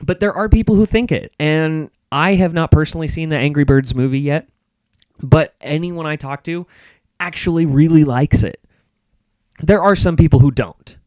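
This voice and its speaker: A man's voice: a little high, moderate pace, a little mellow, no echo. A man speaking as a podcast guest in casual, opinionated discussion, emphatic and repeating points for stress.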